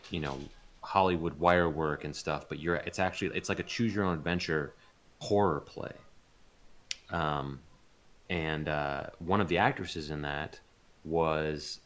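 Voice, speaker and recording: A man speaking as an interviewed voice actor, chatting casually in a rambling, informal way and pausing to think. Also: rumbling low, a bit bright. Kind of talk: casual chat in a livestreamed interview.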